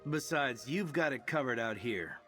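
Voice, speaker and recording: An actor as a wry, troubled adventurer. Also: male voice, medium pitch, animated, moderate pace, a bit bright, faint music behind, natural voice. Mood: rueful, resolved. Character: troubled, self-sacrificing male adventurer, wry and rueful. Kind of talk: fantasy video game dialogue.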